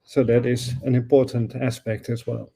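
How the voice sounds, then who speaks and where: Middle-aged Dutch academic guest, relaxed warm voice, explains carefully with pauses and restarts. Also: male voice, medium pitch, vocal fry, husky. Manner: thoughtful, searching for words with frequent fillers and restarts. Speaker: guest, professor and author. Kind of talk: conversational interview answers.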